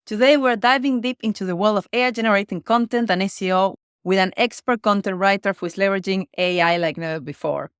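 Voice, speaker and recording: A woman's voice: medium pitch, fast talker, hardly any pauses, clean tone, no room sound, clean studio sound. A woman from Argentina with an Argentine Spanish accent, a native Spanish speaker, hosting and interviewing on a podcast. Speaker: podcast host.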